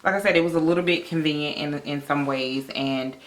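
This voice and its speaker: A woman's voice: sits low, steady tone, brisk. An American woman co-hosting a casual review vlog, talking conversationally in a roomy indoor space. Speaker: vlog co-host reviewing a film. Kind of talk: casual chat, movie review. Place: indoor room with bare wall.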